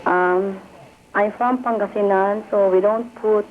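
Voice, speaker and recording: A woman's voice: medium pitch, fairly even intonation, unhurried, slight background noise. A woman from the Philippines calling in by phone, asking doubtful, teasing questions. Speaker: caller. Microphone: telephone. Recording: tinny phone line.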